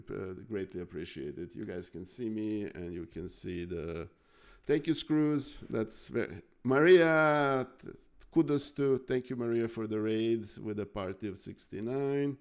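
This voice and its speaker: A man from Serbia, casually chatting on a live stream while going over chess games, halting with many fillers and thanking viewers. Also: lowish voice, clean tone.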